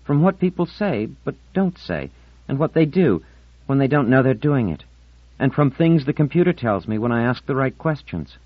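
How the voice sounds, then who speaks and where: A man's voice: mid-range voice, very animated, moderate pace, husky, dry recording, muffled recording, pitched above the performer's own voice. A man voicing a moody, articulate teenage girl in an audiobook, insistent and indignant in manner.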